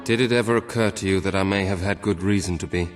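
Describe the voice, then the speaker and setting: A man's voice: deep voice, steady tone, fast talker, close to an everyday voice. An actor playing a grave, imperious tyrant king in a video game, speaking solemnly. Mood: grave, defiant. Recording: music under game dialogue. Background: music.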